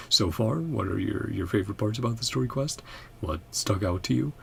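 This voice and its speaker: A man with a low, creaky voice and lively intonation hosts a gaming reaction video. He talks casually and hesitantly, wandering between thoughts and often chuckling softly as he goes. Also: normal speed, slight background noise. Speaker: gaming reaction channel host. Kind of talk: casual chat, game reaction commentary.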